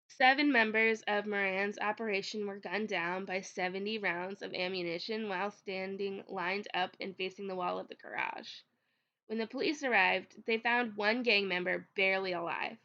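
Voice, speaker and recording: A woman with a cold narrates history in a loose, chatty way, a little sniffly. Her voice is bright and clear at an ordinary middle pitch. The recording sounds slightly dull, as if made at home. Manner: chatty, with frequent fillers and restarts. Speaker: history video host.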